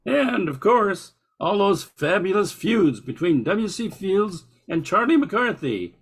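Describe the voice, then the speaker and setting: A man's voice: high-pitched, very animated, takes it slowly, gravelly, husky. An older man presenting a nostalgic radio show with scripted, chatty narration.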